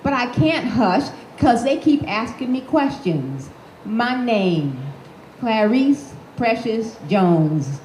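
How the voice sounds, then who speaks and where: A woman's voice: fairly high pitch, big pitch swings, slow pace, darkish tone. A woman reading aloud in character as a blunt, defiant sixteen-year-old girl from Harlem, with a streetwise, profane way of talking. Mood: urgent. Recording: echoey room with faint noise.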